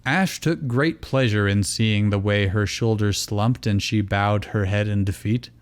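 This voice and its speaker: A man's voice: on the low side, unhurried, clear, resonant tone. A man narrating fan fiction as a comedic host, dropping into casual asides. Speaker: reader, host.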